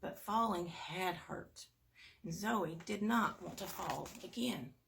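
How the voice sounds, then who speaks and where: A woman reading a children's bedtime story aloud, with expressive emphasis and little asides to young listeners. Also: fairly low pitch, takes it slowly, gravelly, slight background noise. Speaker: storybook reader at a performing arts school.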